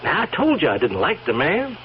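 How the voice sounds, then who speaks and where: Sly folksy blackmailing stable hand, swooping delivery. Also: male voice, fairly high pitch, huge pitch swings, fairly quick. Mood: sly, insinuating. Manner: folksy, rambling, insinuating, chuckling drawl of hesitations. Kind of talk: old radio drama acting. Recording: dull, hissy old radio recording.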